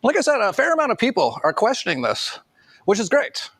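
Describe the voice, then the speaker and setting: High-pitched gravelly man, casual commentator. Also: wildly swooping pitch, fairly quick, fairly bright tone, no echo. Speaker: online commentator.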